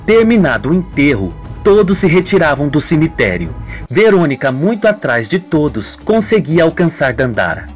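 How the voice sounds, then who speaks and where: A woman's voice: low voice, big pitch swings, normal speed, soft background music. A woman with a Brazilian accent narrating a scripted radio soap in a melodramatic storytelling manner.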